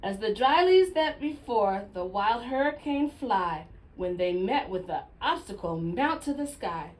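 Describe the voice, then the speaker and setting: A woman reading a picture-book story aloud to young children, expressive and speaking right to her listeners. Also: medium pitch, measured pace.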